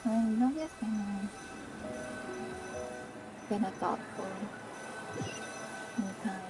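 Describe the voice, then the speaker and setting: A woman's voice: mid-range voice, drawn-out words, rough recording. A woman live-streaming a video game, reading story text aloud and reacting casually. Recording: game music behind the voice.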